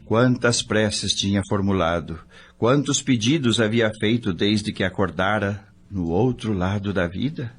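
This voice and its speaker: A man's voice: fairly low pitch, measured pace, husky. A man playing a curious, awed spirit newcomer who narrates his story in an audio novel. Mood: wondering, reflective. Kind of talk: dramatized scripted narration.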